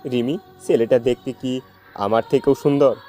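A dark, mellow male voice a man acting plays a jilted, heartbroken young lover. The lines are melodramatic love-story dialogue over a faint music bed. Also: medium pitch, steady tone, normal speed, natural voice. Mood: pleading, hurt. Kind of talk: amateur audio love-story drama.

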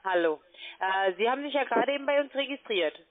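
A woman's voice: fairly high pitch, animated, fast talker, noisy background. A woman working as a call centre agent, giving a scripted sales talk on the telephone. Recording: band-limited, tinny phone line.

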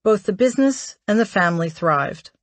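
A woman reading a memoir aloud as an audiobook narrator, in a measured, articulate way. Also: medium pitch, lively intonation, no room sound.

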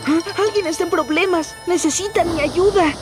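A woman's voice: very high voice, moderate pace, music in the background. A woman voicing an eager, cheerful young male steam locomotive in a Latin American Spanish cartoon dub. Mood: excited, proud. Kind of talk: dubbed children's cartoon acting and narration.

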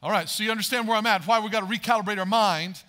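A man's voice: sits high, racing, bright, forceful tone. A man in his forties preaching a sermon on a large church stage, asking the audience questions and building emphasis, picked up by a headset mic. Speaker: pastor.

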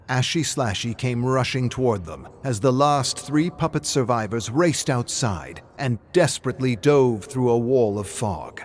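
A man's voice: mid-range voice, slight background noise. A man reading scripted, dramatic story narration as the narrator.

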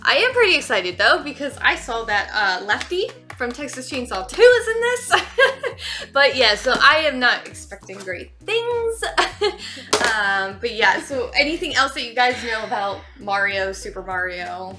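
A woman's voice: on the high side, sing-song, normal speed, pushed and bright, faint music behind. A woman in her thirties chatting casually and informally as she hosts a movie reaction, often trailing off mid-thought. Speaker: host of a movie reaction video. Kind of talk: casual chat, reacting to a film. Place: living room couch, indoors.

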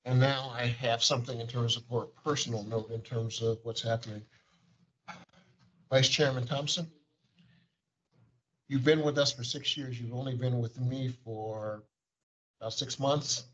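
A man's voice: mid-range voice, fairly even intonation, a little slow, long pauses, fairly bright tone. A man reporting to a board in a formal meeting, with wordy, bureaucratic phrasing and frequent fillers, recorded on a table microphone in a large conference room. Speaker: agency administrator. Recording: dull lo-fi meeting audio.

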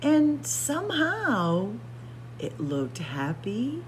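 Slow, expressive woman reading a storybook. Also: fairly high pitch, a little hiss or hum, poor audio. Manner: suspenseful, expressive, drops to hushed whispers for emphasis. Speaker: librarian reading aloud at story time. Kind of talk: dramatic picture-book read-aloud. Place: indoor library room with bookshelves and windows.

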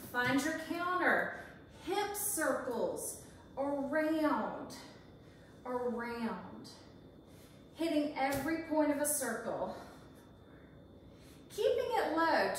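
A woman's voice: high voice, big pitch swings, takes it slowly, long pauses, a bit bright, a little hiss or hum. A woman in her forties leads a kitchen workout as a fitness instructor, counting reps and encouraging the viewer as she exercises. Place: large home kitchen, indoors. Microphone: camera across the room. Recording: echoey kitchen, voice from far off.

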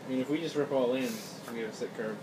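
A man's voice: mid-range voice, fairly even intonation, fast talker. A man hosting a casual card game video, chatting with viewers in a laid-back, chuckling way as he plays at a table. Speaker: card game player and channel host. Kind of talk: casual play commentary. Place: indoor room at a game table. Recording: roomy, distant, noisy phone capture.

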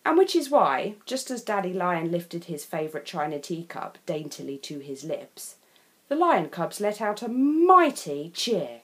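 A woman reading a children's storybook expressively, leaning on key words with deliberate emphasis. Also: on the low side, big pitch swings. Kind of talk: scripted storybook narration for children.

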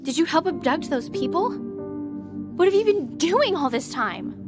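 An actress playing a stubborn, self-doubting young starship ensign in an audio drama, with a casual, blunt, questioning way of talking. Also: female voice, sits high, big pitch swings, moderate pace, music in the background. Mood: probing.

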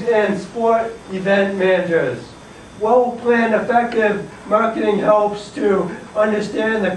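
High-voiced teen boy presenting in class. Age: teens. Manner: nervous, laughing, stumbling over words. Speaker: student presenter. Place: school classroom. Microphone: far camera. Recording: echoey classroom from far off, faint hiss.

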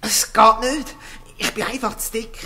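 A woman's voice: on the high side, fairly even intonation, a little slow, pushed and bright, slight background noise. A woman with a Swiss German accent playing an unsure, questioning young boy in a radio play, hesitant and doubtful. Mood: anxious. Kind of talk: radio play acting in dialect.

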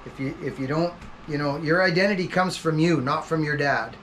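A man's voice: on the high side, brisk, a bit bright, a little hiss or hum. A middle-aged man from Western Canada, a logger chatting earnestly in a workshop, often restarting his sentences. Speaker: logger, guest. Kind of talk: casual chat. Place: indoor wooden workshop.

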